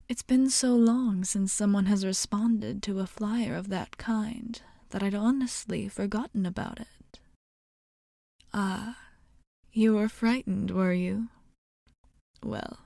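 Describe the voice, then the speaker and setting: A woman playing a courteous, seductive female vampire bartender in a soft ASMR roleplay, speaking formally and gently, often in a breathy whisper, close to the microphone. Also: mid-range voice, no room sound. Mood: softly probing. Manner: slow, measured, formal, gently coaxing.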